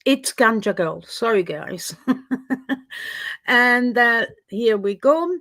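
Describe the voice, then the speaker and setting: A Swiss French woman hosting a casual livestream, chatty and quick to laugh. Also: fairly high pitch, expressive, pushed and bright, no room sound. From Switzerland. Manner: chatty, laughs often, with fillers. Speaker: livestream host.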